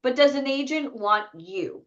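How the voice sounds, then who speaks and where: A woman's voice: sits high, lively intonation, a little slow, highs cut off. A woman hosting a live online session, upbeat and emphatic, restating points for the audience. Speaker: host.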